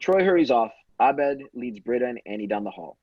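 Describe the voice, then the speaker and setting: A man's voice: mid-range voice, lively intonation, brisk, breathy edge. A man reading screenplay stage directions aloud as the narrator of an amateur table read. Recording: dull, roomy home audio.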